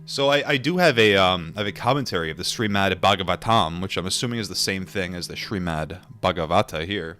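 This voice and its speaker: A man reading a book aloud and adding informal asides, in a measured, deliberate manner. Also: low-pitched, pushed and bright, soft background music. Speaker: audiobook reader and commentator.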